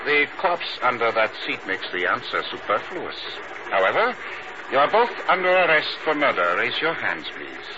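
Man acting officious, menacing military police major, swooping pitch. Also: big pitch swings, normal speed, natural voice. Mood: coldly polite, commanding. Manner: formal, clipped commands. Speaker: actor in a radio drama. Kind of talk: 1950s radio acting. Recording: muffled, noisy old radio recording.

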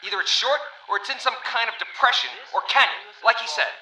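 An actor playing a blunt, commanding navy captain in a radio drama, speaking over a ship's radio. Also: male voice, very high voice, animated, a little fast, bright, forceful tone, roomy sound, full character voice, poor audio. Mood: uneasy awe. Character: gruff, commanding male navy ship captain. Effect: radio transmission.

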